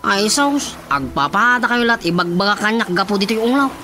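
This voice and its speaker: A man's voice: high-pitched, expressive, a little fast, bright, forceful tone, soft background music. An actor with an Ilocano Filipino accent playing a chatty, cheeky young male relative in a radio drama comedy. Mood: pleading, exasperated.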